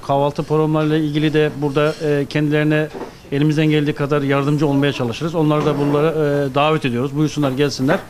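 A middle-aged man giving halting, unscripted answers as a restaurant manager being interviewed. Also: fairly high pitch, darkish tone. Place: restaurant dining room. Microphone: handheld microphone. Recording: clear background noise, restaurant ambience.

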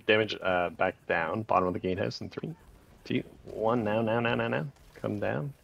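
A man leading a raid group over voice chat, calling short commands in rapid repeated bursts with countdowns. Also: on the low side, fairly even intonation, creaky, fairly bright tone, muffled recording.

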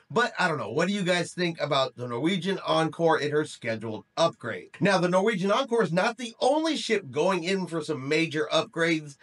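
A middle-aged man with a notably high-pitched voice hosts a news update. He talks straight to the viewer in a conversational style and leans firmly on his key words. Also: fairly bright tone. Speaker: host of a cruise news channel. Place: small room indoors.

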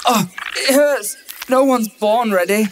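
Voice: medium pitch, slow, slight background noise, lightly acted. An actor playing a protective, sweary male guru in an audio drama, full of melodrama. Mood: pained, earnest. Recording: music behind the voice.

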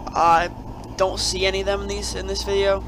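A man's voice: high-pitched, little pitch movement, a little fast, background noise. A young man with a cold, chatting casually as a channel host and rambling with many fillers and restarts. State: has a cold. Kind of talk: casual video commentary. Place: at home.

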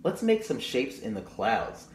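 A man voicing a kind, encouraging father in a storybook, in a gentle manner. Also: mid-range voice, very animated, a little slow. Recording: roomy echo, audible background noise.

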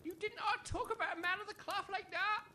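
A meek English housekeeper in a comic audio drama. The voice is extremely high and bright, deferential and easily flustered. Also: female voice, steady tone, a little fast. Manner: deferential, stammering.

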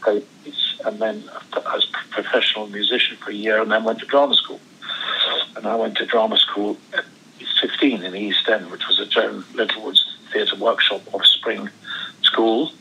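A man's voice: fairly low pitch, level tone, normal speed, gravelly, sharp tone, slight background noise, low-quality recording. A man in his seventies, born in Switzerland, telling his life story as an interview guest, rambling with many restarts. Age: 70s. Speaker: guest actor. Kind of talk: casual interview chat.

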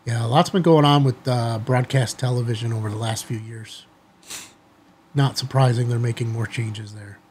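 A middle-aged man with an American accent speaks as a hobby channel host. His voice is husky and sits in a higher-than-deep, ordinary male register. He addresses viewers in an informal, folksy way. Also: steady tone, moderate pace, darkish tone. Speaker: amateur radio video host. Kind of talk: casual chatty commentary to viewers. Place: small indoor studio office, seated at desk.

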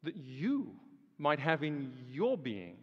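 Guy preaching in a big echoey hall, higher voice that jumps around a lot. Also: huge pitch swings, slow pace, lo-fi sound. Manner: emphatic, rhetorical questions, swings from loud to hushed. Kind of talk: sermon. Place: large church hall. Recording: long reverb of a large hall.

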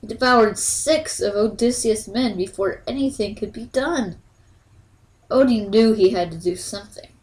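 A woman's voice: medium pitch, moderate pace, a little mellow, low-quality recording. A woman storyteller narrating a scripted bedtime story in a folksy way, as if to a young listener.

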